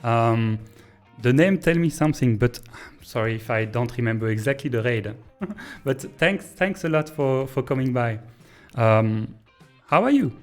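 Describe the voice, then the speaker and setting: A man with a French accent, a native French speaker, thinking aloud in a hesitant, pause-filled way as he streams and comments on a train-building game. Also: mid-range voice, animated, soft background music. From Belgium. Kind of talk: casual live-stream gameplay commentary.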